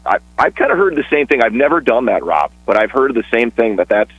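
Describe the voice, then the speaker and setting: A man talking casually on a radio sports show, chatty and a bit rambling, with restarts and quick laughs. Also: mid-range voice, big pitch swings, a bit bright, breathy edge, no room sound, rough recording. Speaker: sports broadcaster guest.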